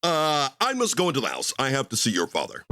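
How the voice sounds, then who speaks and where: A man's voice: mid-range voice, huge pitch swings, brisk, sharp tone, dry recording, clean studio sound. A man playing a flustered, eager space cruiser commander with a weakness for women, in a radio sci-fi parody. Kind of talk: old-time radio sci-fi parody acting.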